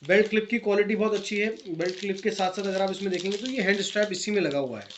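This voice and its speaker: A man's voice: high-pitched, racing. A man with an Indian accent giving a casual, step-by-step product review, mixing English phrases in and addressing viewers as friends. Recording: dull lo-fi sound, faint noise, clicks.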